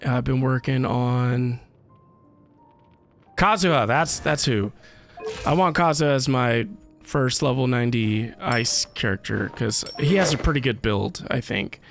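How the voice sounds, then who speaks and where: A man's voice: mid-range voice, measured pace, creaky. A man hosting a casual game livestream, rambling and thinking aloud as he talks to viewers. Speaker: livestream host playing a video game. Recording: game music behind the voice. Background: video game music.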